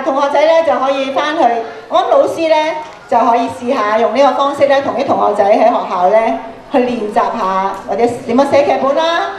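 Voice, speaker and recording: A middle-aged woman with a Hong Kong Cantonese accent, speaking as an encouraging workshop leader to an audience through a handheld microphone on a stage. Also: high-pitched. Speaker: workshop speaker, radio programme producer. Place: school auditorium stage. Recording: echoey hall through PA microphone.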